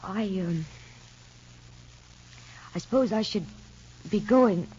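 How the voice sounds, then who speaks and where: A woman's voice: mid-range voice, takes it slowly, a little hiss or hum, close to an everyday voice. An actress playing an anxious young mother in a 1940s radio soap, talking in a hesitant, worried way. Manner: hesitant, worried, soft-spoken. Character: anxious young mother fearing for her son. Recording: dull lo-fi old radio recording.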